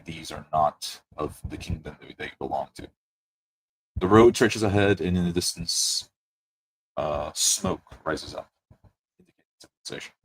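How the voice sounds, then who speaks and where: A man with a very low, husky voice runs a tabletop game. He narrates slowly and descriptively, pausing as he paints each scene. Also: low-quality recording. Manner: slow, descriptive, with pauses. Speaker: game master.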